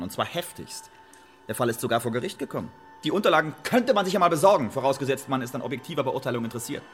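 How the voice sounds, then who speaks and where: A man's voice: mid-range voice, natural voice. An actor in a radio play voicing a quarrelsome, sarcastic playwright accused of murder, arguing his innocence in repetitive phrases.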